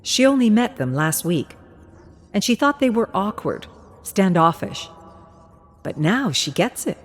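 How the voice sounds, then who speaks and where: A woman's voice: mid-range voice, very animated, measured pace. A woman narrator reading a scripted story.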